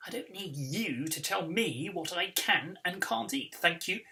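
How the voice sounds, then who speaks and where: A man's voice: high-pitched, animated, a little slow, vocal fry, sharp tone, far from the mic, heavily acted. Man putting on a snooty, hissing schoolboy show-off. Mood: snappy. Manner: snapping, hissing, disdainful. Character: boastful, sneering schoolboy, a would-be celebrity.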